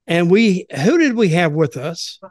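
Older man, higher-pitched voice, close dry sound. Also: sing-song, unhurried, no room sound. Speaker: podcast host. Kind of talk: casual chat, storytelling. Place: small home office, indoors. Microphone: desk microphone with headset.

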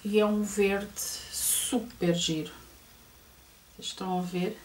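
Portuguese lady doing a clothing haul in her bedroom, slow and chatty, lots of ums, sounds kinda far from the cam and echoey. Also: mid-range voice, steady tone. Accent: European Portuguese. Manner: chatty, hesitant, many fillers, addresses viewers. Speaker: fashion vlogger. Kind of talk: casual clothing haul show-and-tell. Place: bedroom at home, indoors. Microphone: camera across the room. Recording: roomy distant camera audio with faint hiss.

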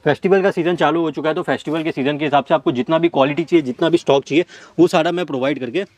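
Fast-talking man pitching fabrics. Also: a little mellow, dry recording. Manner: rapid salesman patter listing items. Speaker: fabric seller. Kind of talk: product showcase walkthrough. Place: fabric warehouse floor.

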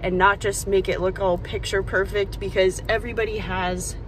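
A woman's voice: medium pitch, level tone, normal speed, a little hiss or hum, poor audio. A woman in her twenties vlogging candidly from a car, talking to a phone held at arm's length. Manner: chatty, candid, talks to her dog. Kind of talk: casual vlog chat. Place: car interior and outdoor beach. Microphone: phone camera at arm's length.

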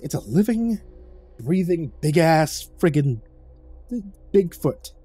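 A man's voice: sing-song, slow, dark tone, breathy edge, soft background music, heavily acted. A man voicing a jittery young new hire who stammers and swears, in a storybook narration. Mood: nervous. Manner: stammering, swearing, high-pitched panic.

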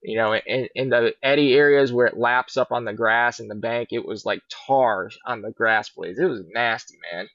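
A middle-aged man with a gravelly edge talks in an easygoing, rambling way about life as a fishing guide. His voice arrives dull and muffled through a video call. The sound is dry, with little room echo. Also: mid-range voice, normal speed, few pauses, a bit bright. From Virginia. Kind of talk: casual podcast interview. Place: large indoor boat showroom. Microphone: laptop webcam on a video call.

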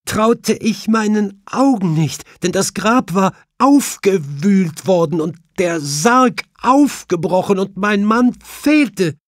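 A man's voice: high voice, animated, dry recording, studio quality, voice pushed up. A man voicing a grieving middle-aged widow with a brittle, tearful voice in an audiobook. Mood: shaken, distressed. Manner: halting, sobbing.

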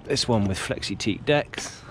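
A man's voice: on the low side, measured pace, a crowd in the background. A man presenting a casual walk-through boat review in clipped, fragmentary phrases, recorded on a handheld action camera. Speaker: presenter reviewing a sailing yacht.

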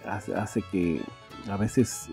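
A man hosting a podcast, casually commenting on a radio drama in a folksy, halting way. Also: fairly low pitch, vocal fry, dark tone. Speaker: podcast host. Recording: faint guitar music behind.

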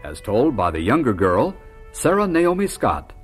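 A man's voice: medium pitch, wildly swooping pitch, measured pace, over music. A man announcing a vintage radio drama in a formal, scripted narration style.

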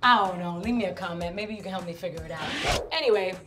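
A woman in her thirties from New York, giving a casual, playful house tour vlog in a bright home, recorded on a camera mic. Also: fairly low pitch, music in the background. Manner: chatty, playful, drawn-out asides. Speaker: vlog host.

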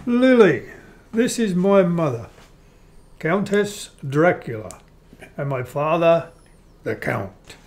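A man playing the polite, measured grown son of a vampire family in an amateur radio play. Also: fairly high pitch, very animated, a little mellow, full character voice. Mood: introducing. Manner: measured, explanatory.

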